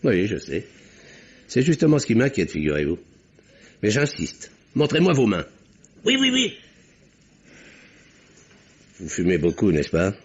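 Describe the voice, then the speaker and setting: An actor playing a shrewd, firm country doctor in a vintage radio drama, questioning insistently. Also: male voice, lowish voice, huge pitch swings, unhurried, pauses often, husky, full character voice. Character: shrewd country doctor, middle-aged man, probing and firm. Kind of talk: 1950s French radio drama acting. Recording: dull old radio recording.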